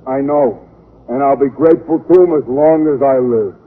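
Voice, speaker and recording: An actor playing a simple, polite and grateful American ex-convict in an old-time radio comedy, speaking sincerely and plainly. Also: male voice, mid-range voice, moderate pace, close to an everyday voice. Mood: earnest, grateful. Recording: tinny, noisy vintage radio recording.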